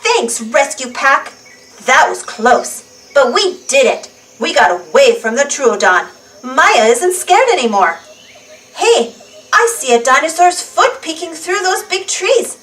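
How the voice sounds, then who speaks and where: A woman reading a storybook aloud to young children in an animated, deliberate way, stressing long words. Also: sits high, recorded from a distance, rough recording.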